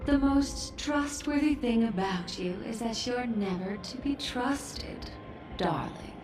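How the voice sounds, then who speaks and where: Slow, poised, echoey heroine. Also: female voice, on the high side, lively intonation. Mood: wry challenge. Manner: measured, poised, coolly pointed. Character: composed, shrewd, cool-headed woman facing her old betrayer. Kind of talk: dramatic video game voice acting. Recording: echoey, distant, music underneath. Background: game music.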